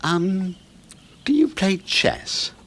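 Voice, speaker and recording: A British actor playing a friendly, chatty suburban neighbour in a 1970s radio play, polite and slightly hesitant. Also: male voice, high voice, lively intonation, drawn-out words, a bit bright, exaggerated voice. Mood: chatty, keen.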